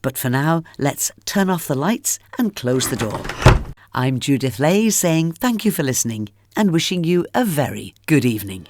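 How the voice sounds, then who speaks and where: A woman's voice: low-pitched, big pitch swings, moderate pace, background noise. A woman hosting a radio programme, reading a scripted narration and sign-off. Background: music.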